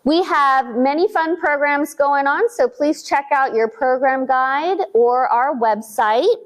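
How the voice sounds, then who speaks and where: A woman librarian leading a virtual storytime for small children, talking in an animated, sing-song way. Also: high-pitched.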